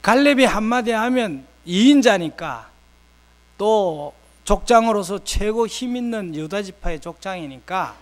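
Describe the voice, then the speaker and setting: An older man from South Korea preaching a sermon with personal anecdotes, speaking conversationally in short, unhurried phrases. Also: high voice, animated.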